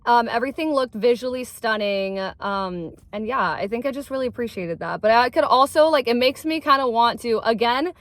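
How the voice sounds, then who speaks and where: A young woman talking through a movie review in a loose, rambling way, leaning on "like" constantly. Her voice sits a bit high and sounds dry and close. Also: fairly quick, few pauses, dry recording. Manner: rambling, heavy use of "like", laughs easily. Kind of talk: casual chat.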